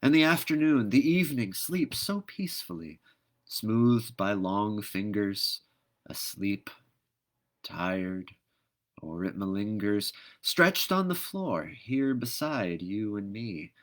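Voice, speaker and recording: A man reading poetry aloud in an expressive, theatrical manner, with measured phrasing and dramatic pauses. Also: on the low side. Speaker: poetry reader.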